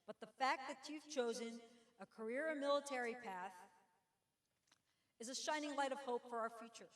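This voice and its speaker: Earnest middle-aged woman in an echoey hall. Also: on the high side. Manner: earnest, uplifting. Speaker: guest speaker, Army veteran. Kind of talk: prepared speech to graduates. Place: school auditorium.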